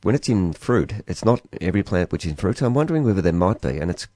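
A man's voice: low voice, sing-song, quick pace, dark tone, dry recording, studio quality. An Australian man co-hosting a radio show, asking questions with stumbling restarts. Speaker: radio co-host.

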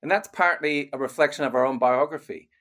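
An older man raised in Canada, an author and criminologist, giving measured, explanatory interview answers. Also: medium pitch, fairly bright tone.

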